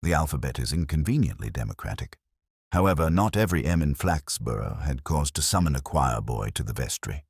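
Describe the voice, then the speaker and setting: A man's voice: a bass voice, expressive, a little fast, breathy edge, no echo, heavily acted. A man voicing a mild, patient, shrewd police inspector in a story, with dry and formal understatement. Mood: mildly wry.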